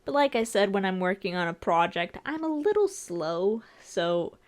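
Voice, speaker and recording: A woman's voice: mid-range voice, big pitch swings, normal speed. A woman narrating her own art video as a casual commentary, informal and lightly self-deprecating, with a joking touch. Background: music.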